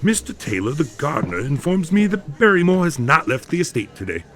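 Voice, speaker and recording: A man playing a polite, concerned gentleman doctor in a radio drama, formal and probing. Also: on the high side, very animated, brisk.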